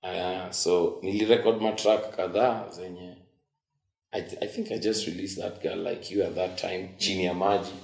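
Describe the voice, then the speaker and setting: A Kenyan man in his forties, a musician guest telling stories in a relaxed way, switching between English and Swahili slang. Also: low-pitched, normal speed, gravelly. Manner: relaxed storytelling, code-switching. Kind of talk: casual interview in Sheng and English. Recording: roomy echo.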